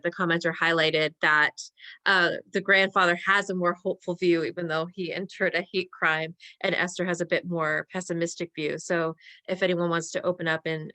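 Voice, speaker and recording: Bright, low-voiced woman moderating, dry sound. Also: no echo. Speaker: talkback moderator. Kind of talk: moderating an audience Q&A.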